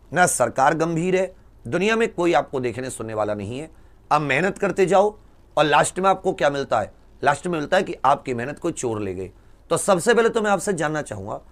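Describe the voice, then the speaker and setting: Animated, high-pitched man rallying students. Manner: emphatic, exhorting, with rhetorical questions and repetition. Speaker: exam coaching teacher. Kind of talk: direct address to students, rallying for a campaign.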